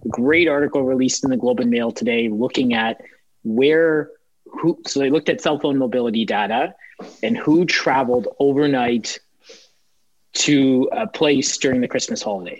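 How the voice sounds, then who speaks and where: A man's voice: medium pitch, dry recording. A man explaining his points emphatically in a conversational panel discussion. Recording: dry, slightly dull audio.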